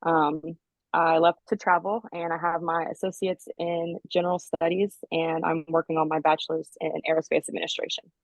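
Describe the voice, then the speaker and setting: A woman in her twenties, a flight coordinator on a panel, speaking slowly and carefully with ums. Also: sits low, little pitch movement, dry recording. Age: 20s. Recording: dry, slightly muffled.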